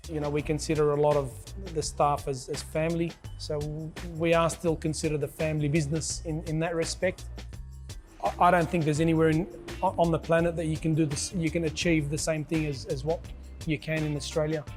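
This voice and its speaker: A man giving an interview testimonial about a family business, repeating words haltingly as they go. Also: a little high, little pitch movement. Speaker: general manager of a tyre business. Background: background music.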